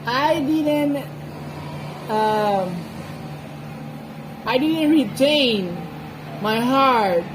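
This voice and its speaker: Slow, high-voiced woman, non-native English speaker. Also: background noise. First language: not a native English speaker. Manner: halting, searching for words. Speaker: scripture reader and commentator. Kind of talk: informal Bible commentary with personal anecdotes.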